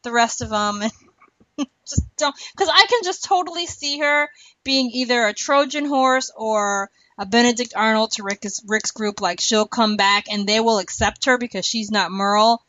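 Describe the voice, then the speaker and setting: Bright, high-voiced woman, chatty and emphatic. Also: normal speed, no room sound, lo-fi sound. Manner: emphatic, chatty, repeats words for stress. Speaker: podcast co-host. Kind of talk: casual TV show discussion.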